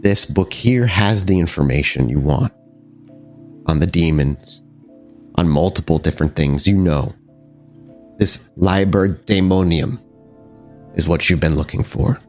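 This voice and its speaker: A man with a fairly low voice runs a tabletop horror game as game master. He describes scenes in detail, pausing and rewording as he searches for the right phrase, with music playing clearly behind him. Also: darkish tone. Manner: descriptive, halting while searching for words. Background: background music.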